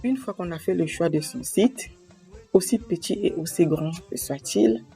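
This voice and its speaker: A woman from Congo-Brazzaville, talking to camera in a slow, earnest way to explain her advice and quoting proverbs. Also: low-pitched, warm tone. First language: a Congolese language. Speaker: association president giving advice.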